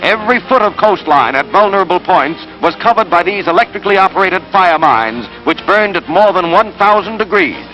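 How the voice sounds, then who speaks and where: High-pitched American 1940s newsreel man. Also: lively intonation, brisk, few pauses, dull audio. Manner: dramatic, emphatic delivery. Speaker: newsreel narrator. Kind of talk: 1940s newsreel narration, scripted. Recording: old 1940s newsreel soundtrack, loud music. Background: loud orchestral newsreel score.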